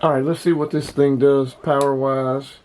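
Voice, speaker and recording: A man's voice: mid-range voice, level tone, moderate pace, a little mellow, a little hiss or hum, poor audio. Plain-spoken man reviewing gear. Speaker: host of a radio hobby channel. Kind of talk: casual product review.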